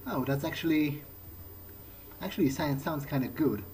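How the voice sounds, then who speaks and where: A man's voice: a little high, normal speed, darkish tone. A man doing in-character roleplay for a game playthrough, casually and hesitantly musing aloud. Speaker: gameplay video creator.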